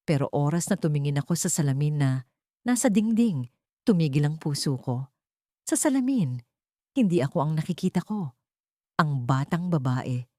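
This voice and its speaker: A woman's voice: low-pitched, very animated, moderate pace, long pauses, dark tone, no echo, clean studio sound. A woman reading a scripted first-person suspense story as its narrator.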